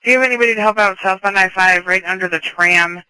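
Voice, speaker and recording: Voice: medium pitch, quick pace, sharp tone, clean tone, no room sound, rough recording. A police dispatcher speaking in a clipped, businesslike way over a police scanner radio. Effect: police radio transmission.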